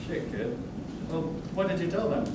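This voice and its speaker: A man's voice: fairly high pitch, animated, normal speed, lightly acted. A young man playing an exasperated, incompetent mall manager in a staged reading. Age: young adult. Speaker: actor in a musical reading. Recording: echoey, distant, noisy room.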